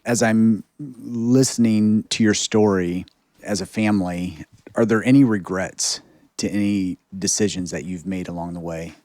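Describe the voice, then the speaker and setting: A man interviewing a guest, asking gentle questions and giving soft murmurs of agreement. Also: on the low side, unhurried, dry recording.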